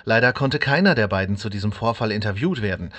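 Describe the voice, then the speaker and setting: An actor playing an earnest, formal news reporter reading a scripted mock bulletin. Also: male voice, lowish voice, animated, quick pace, a bit bright. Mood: formal, deadpan.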